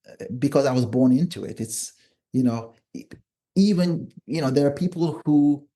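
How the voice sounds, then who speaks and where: Man, middle-aged, relaxed warm timbre, thoughtful conversational guest who restarts and rewords his points. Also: mid-range voice. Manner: thoughtful, repeats and rephrases phrases. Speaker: podcast guest, author and psychiatrist. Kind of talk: reflective interview conversation. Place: remote online call.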